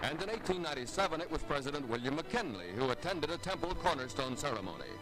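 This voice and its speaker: A man's voice: on the high side, very animated, quick pace, poor audio. A man with an American accent reading formal, declamatory 1950s newsreel narration. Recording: vintage newsreel soundtrack with music behind. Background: music.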